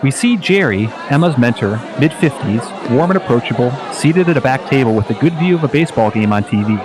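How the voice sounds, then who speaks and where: A man narrating a scripted radio play in a measured storytelling manner. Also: mid-range voice, expressive, crowd noise, low-quality recording.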